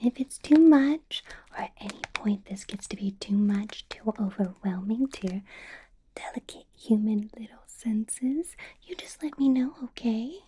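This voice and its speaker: A sweetly menacing female vampire boss, whispered by a woman in ASMR roleplay. The voice is a slow, mellow, slightly high unvoiced whisper. Faint noise sits behind it. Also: lightly acted.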